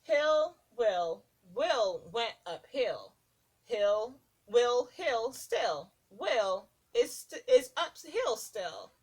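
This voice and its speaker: A woman preschool teacher reading a rhyming picture book aloud to young children in a sing-song, deliberate, expressive way. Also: fairly high pitch, slightly rough, low-quality recording.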